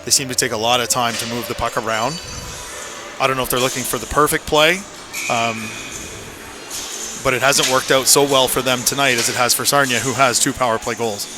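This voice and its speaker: A man giving measured, opinionated color commentary on a live radio hockey broadcast. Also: mid-range voice, steady tone. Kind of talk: live radio sports analysis. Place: indoor hockey arena. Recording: noisy live arena broadcast. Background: loud rink ambience.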